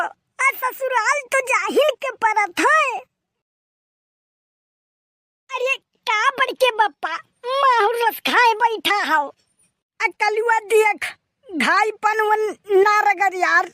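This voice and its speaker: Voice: very high voice, slow pace, sharp tone, no room sound, clean studio sound, heavily acted. A performer voicing a cheeky, aggrieved cartoon village boy who complains in a rustic eastern Uttar Pradesh accent. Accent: eastern Uttar Pradesh (Awadhi). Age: child character. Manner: complaining, colloquial, exclamatory. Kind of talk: rustic comedy cartoon dialogue.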